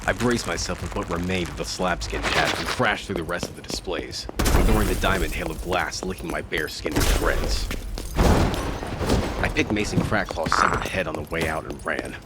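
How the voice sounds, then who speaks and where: A man's voice: deep voice, normal speed, heavy background noise, natural voice. An actor playing a battered, gritty fighter, narrating his own story in an audio drama with grim intensity. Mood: grim, weary. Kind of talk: dramatic first-person scripted narration. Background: loud music.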